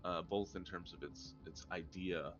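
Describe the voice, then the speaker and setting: A man's voice: sits low, fairly even intonation, measured pace, fairly bright tone, lo-fi sound, faint music behind. A man playing in a tabletop game, chatting with enthusiasm in long runs of talk. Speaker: player. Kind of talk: casual chat.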